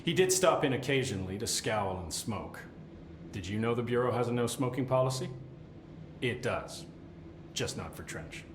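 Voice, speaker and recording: An actor playing a wry, jaded middle-aged government supervisor in a video game, rambling with sardonic asides. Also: male voice, medium pitch, expressive, normal speed, echoey room, background noise, lightly acted. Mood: dry, grumbling. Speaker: containment sector supervisor. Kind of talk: video game cutscene acting.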